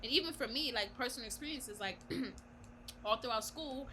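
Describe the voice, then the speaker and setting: A young woman co-hosting a podcast, with a high-pitched voice, talking frankly and laughing often between restarts. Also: normal speed, bright, forceful tone. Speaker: podcast co-host. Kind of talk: casual chat. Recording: virtual call, faint background noise.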